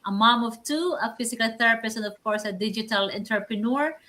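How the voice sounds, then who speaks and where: A woman's voice: fairly high pitch, quick pace, sharp tone. A woman from the Philippines with a Filipino accent, co-hosting a casual livestream. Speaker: co-host.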